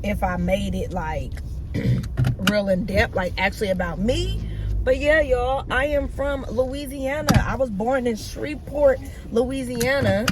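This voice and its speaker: Young woman, from the Bay Area, casual book vlog, chatty, talks to viewers, clear car noise behind. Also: fairly high pitch, a little slow. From Bay Area, California. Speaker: book vlogger.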